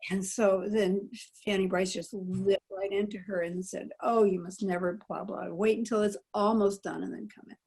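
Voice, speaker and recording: An older woman spins a story with animated delivery, her voice husky and a little breathy. She speaks over a video call as a panel guest. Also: mid-range voice, normal speed, few pauses, poor audio. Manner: animated storyteller, acts out quoted lines. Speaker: panel guest, former child radio actor. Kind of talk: anecdotal storytelling.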